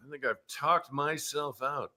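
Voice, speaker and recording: A man's voice: on the high side, fairly even intonation, measured pace, bright, forceful tone. A man talking casually as a guest on a podcast. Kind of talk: casual chat.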